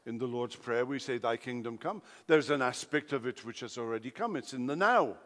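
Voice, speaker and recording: A man with a Welsh accent, a native Welsh speaker, preaching an expository sermon, emphatic, swinging between loud declarations and quiet asides. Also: mid-range voice, lively intonation, fairly quick. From Wales. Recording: long hall reverb.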